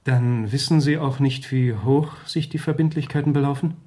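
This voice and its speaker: A formal gentleman of the law played by a male actor in a radio play, mid-pitched. He is courteous and sober, setting things out in measured, matter-of-fact sentences. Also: close to an everyday voice. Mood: grave, factual. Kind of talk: radio drama acting.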